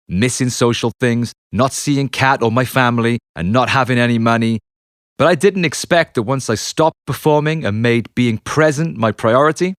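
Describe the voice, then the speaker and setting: Expressive man narrating, lively and dry. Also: medium pitch, dry recording. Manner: expressive, confessional storytelling with dramatic pauses. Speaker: author and musician reading own audiobook. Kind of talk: scripted memoir narration. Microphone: close, dry studio narration mic.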